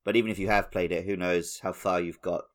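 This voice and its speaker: A man's voice: low-pitched, fairly even intonation, fairly quick. A man co-hosting a podcast, chatting informally and telling everyday anecdotes. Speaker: podcast host. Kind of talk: casual chat, anecdotes.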